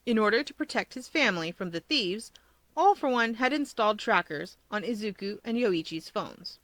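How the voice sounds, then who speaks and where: A woman's voice: medium pitch, fairly bright tone, no echo. A woman reading scripted fiction as a story narrator.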